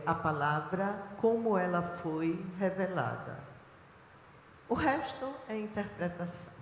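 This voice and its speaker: A woman with a Recife accent lectures at a slow, careful pace, pausing often to find her words. Her voice sits a little low, and it carries a long echo from a hall with a faint hum behind it. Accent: Recife, Brazilian Portuguese. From Recife, Brazil. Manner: deliberate, lecturing, with frequent filler pauses. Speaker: panelist. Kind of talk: panel talk. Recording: echoey hall recording.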